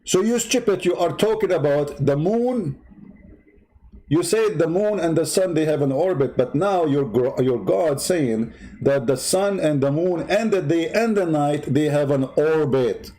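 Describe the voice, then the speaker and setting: A man's voice: fairly high pitch, animated, a little slow, dark tone, low-quality recording. A man hosting a polemical commentary, mocking and insulting in an emphatic way, who sometimes hums to himself. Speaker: debate host. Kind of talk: polemical commentary over a video.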